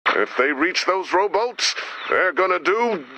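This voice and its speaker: A man playing a stern, dryly skeptical commander in a video game, formal and deadpan. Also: high-pitched, a little slow, a little hiss or hum, full character voice, poor audio. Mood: dry skepticism. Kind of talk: animated video game character dialogue.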